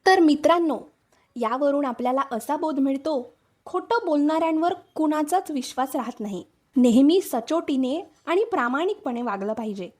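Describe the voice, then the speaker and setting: A woman's voice: sits high, expressive, normal speed, darkish tone. A woman hosting and narrating a scripted children's story. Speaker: storyteller, channel host.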